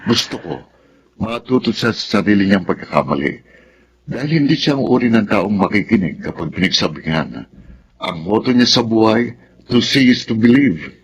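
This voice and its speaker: A man acting a patient, resigned middle-aged father in a Filipino radio drama, speaking in a measured, philosophical manner. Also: fairly low pitch, lively intonation, slightly rough, highs cut off. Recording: noticeable background noise.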